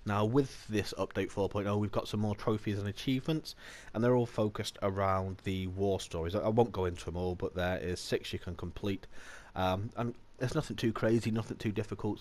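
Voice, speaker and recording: A man with a bad cold, slightly nasal, hosting a casual gaming channel update in a chatty, relaxed way. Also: lowish voice. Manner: chatty and relaxed, with small laughs and restarts.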